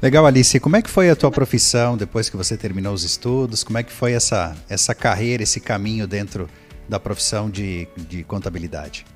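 A man co-hosting an interview show, posing long, drawn-out questions to the guest. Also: on the low side, soft background music. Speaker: podcast co-host. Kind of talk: interview questions and sponsor reads.

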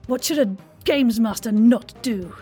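A woman's voice: fairly high pitch, a little slow, mellow, faint music behind. A woman hosting a podcast interview in an effusive, playful and theatrical manner. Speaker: podcast host.

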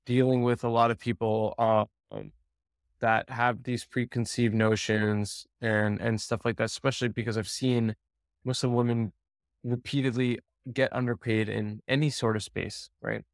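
A man's voice: mid-range voice, little pitch movement, normal speed, no room sound, clean studio sound. A man hosting a podcast interview, asking long, hesitant questions with many restarts. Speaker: podcast host. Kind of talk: casual interview talk.

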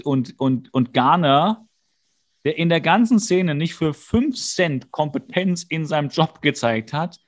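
A man co-hosting a podcast, recapping an episode in casual chat with a jokey, rambling, wry manner. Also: on the high side. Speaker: podcast co-host.

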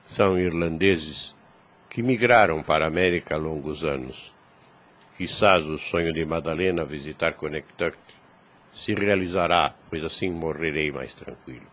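Very deep, weary old man. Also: normal speed, a bit bright. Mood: wistful resignation. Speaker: radio drama actor. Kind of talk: Brazilian radio soap acting.

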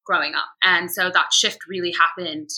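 Bright, husky young American woman. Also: on the low side, normal speed, poor audio. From United States. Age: young adult. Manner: animated, quotes herself and others in anecdotes. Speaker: podcast guest. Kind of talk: candid conversational storytelling.

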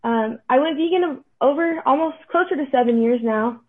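A shy, self-deprecating young woman in her late twenties from Florida, a guest chatting casually on a podcast in halting speech. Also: high voice. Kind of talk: casual chat. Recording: tinny phone-like line.

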